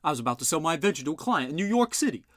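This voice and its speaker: A man voicing a gruff, blustering older male inventor who talks loud and boastful. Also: a little high, big pitch swings, racing, no echo, clean studio sound, pitched above the performer's own voice.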